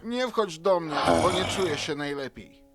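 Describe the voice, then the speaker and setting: A man's voice: fairly high pitch, animated, normal speed, lightly acted. Guy doing a worried sick lumberjack bro, earnest, cartoon horror tale, music playing. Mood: uneasy. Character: young village lumberjack brother, sick and wary. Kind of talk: animated horror story voice acting. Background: background music.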